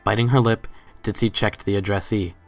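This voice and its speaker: Low-voiced man narrating a story. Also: steady tone, a little fast, gravelly, faint music behind. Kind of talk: scripted story narration, dramatic reading.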